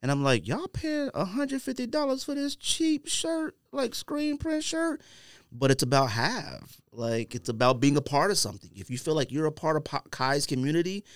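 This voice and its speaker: A man's voice: a little high, normal speed, no echo, clean studio sound. An American man hosting a podcast, talking casually in slang and acting out imagined quotes as he tells it. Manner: animated, slangy storytelling with acted-out imagined quotes. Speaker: podcast host.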